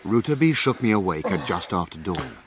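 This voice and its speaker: An actor playing a man who narrates a mystery in a formal, measured, literary way, as scripted drama narration. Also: deep voice, very animated, background noise. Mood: grave storytelling.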